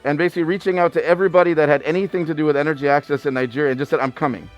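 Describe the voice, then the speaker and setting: A man's voice: a little high, fairly even intonation, very fast, muffled recording, faint music behind. A male radio host introducing a guest in a chatty, joking way. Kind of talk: casual radio talk.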